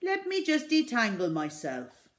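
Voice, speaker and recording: A woman's voice: huge pitch swings, measured pace. A woman voicing a kindly, jittery middle-aged male newsagent who gets frantic and pleading. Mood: fussing. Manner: frantic, pleading, high and loud.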